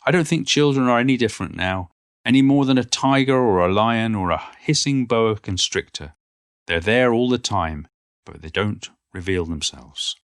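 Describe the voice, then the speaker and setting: A man's voice: lowish voice, expressive, moderate pace, no echo, clean studio sound, close to an everyday voice. A man playing an elderly poet and former schoolteacher, reminiscing in a modest, wry and reflective monologue. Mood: fond, modest. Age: older. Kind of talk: reflective first-person monologue, read from a book.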